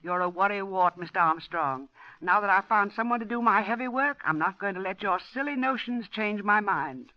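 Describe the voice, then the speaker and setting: An actress playing an older landlady who narrates and scolds in a brisk, old-fashioned way, in 1940s radio acting on a lo-fi old broadcast. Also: medium pitch, animated, dry recording, lightly acted.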